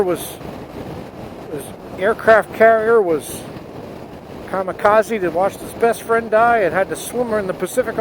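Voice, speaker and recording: A man from the United States giving an earnest spoken essay while riding his motorcycle, speaking into a helmet headset mic. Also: high-pitched. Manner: earnest, rising to patriotic fervor. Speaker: motovlogger. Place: on a motorcycle outdoors. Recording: wind noise on helmet mic.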